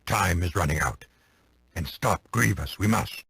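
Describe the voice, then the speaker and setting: Game casting: tiny ancient alien mentor, gravelly, swooping pitch, solemn inverted syntax, noisy audio. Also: male voice, animated, sharp tone, no room sound, heavily acted. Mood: solemn counsel. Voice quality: gravelly, croaky. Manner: inverted word order, halting solemn phrasing. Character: small ancient male alien sage, wise and grave. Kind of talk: video game cutscene acting.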